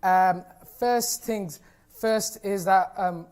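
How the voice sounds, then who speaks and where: A man's voice: high voice, drawn-out words, darkish tone, clean tone. Young man who stammers. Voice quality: stammer, with repeated sounds and blocked starts. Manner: many fillers such as "you know" and "like", earnest and encouraging. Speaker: teacher giving a conference talk. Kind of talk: informal personal talk to an audience.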